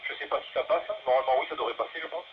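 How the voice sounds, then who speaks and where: A man's voice: mid-range voice, rapid-fire. A man in a casual, hesitant and rambling chat as a radio operator, often repeating words, heard through a two-way radio. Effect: two-way radio transmission. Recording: muffled, noisy two-way radio audio.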